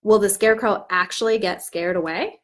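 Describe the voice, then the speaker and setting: A woman teaching in an instructive, expressive way, as if demonstrating how to read a storybook aloud. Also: mid-range voice, moderate pace. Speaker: teacher trainer.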